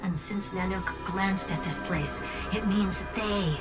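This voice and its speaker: A woman's voice: mid-range voice, slow, natural voice. An actress playing a confident, teasing woman genius in a video game, speaking with wry self-assurance. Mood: grave, emphatic. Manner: wry, self-assured, talking down playfully to the listener. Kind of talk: video game dialogue voice acting. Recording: loud music bed under voice. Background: background music.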